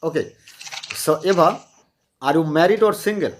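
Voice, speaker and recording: A man's voice: fairly high pitch, lively intonation, moderate pace, a little hiss or hum. A man from Bangladesh with a Bangladeshi accent, hosting a casual video chat in simple, repetitive English full of compliments.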